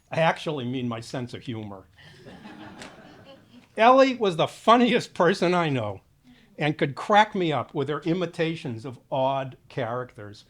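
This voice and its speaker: An older man from Boston, in his seventies, speaks at a memorial in a medium-pitched voice. He delivers his tribute as conversational storytelling, pausing to check in with rhetorical questions. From Boston, United States. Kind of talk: informal eulogy speech.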